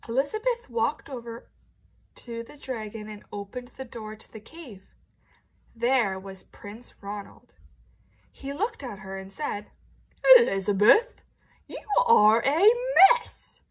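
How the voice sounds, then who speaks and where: A woman's voice: a little high, measured pace, roomy sound. A woman teacher reading a storybook aloud expressively and prompting young listeners with questions.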